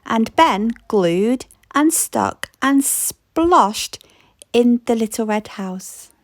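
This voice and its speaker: A woman reads a children's picture book aloud, unhurried and playful. Her voice is fairly high and swings widely in pitch, and the recording is close and dry with no room sound. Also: dry recording. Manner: slow, expressive read-aloud with playful rhythm. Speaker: storybook reader.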